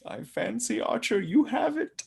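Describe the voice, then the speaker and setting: A man playing a commanding young gentleman. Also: very high-pitched, animated, unhurried, gravelly, husky, full character voice. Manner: theatrical, declamatory. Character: young gentleman arresting a traitor, male, commanding. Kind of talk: stage-play reading.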